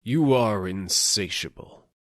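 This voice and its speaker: A male narrator puts on a powerful gatekeeper for an audiobook tale, stern and condescending. The voice is low and slow, commanding in its delivery. The sound is dry and close. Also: expressive, fairly bright tone, no room sound. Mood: impatient.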